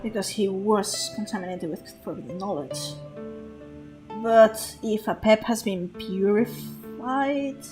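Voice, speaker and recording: Woman streamer with a slightly high voice, slow and hesitant as she muses over the game, laughing often. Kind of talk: gameplay commentary.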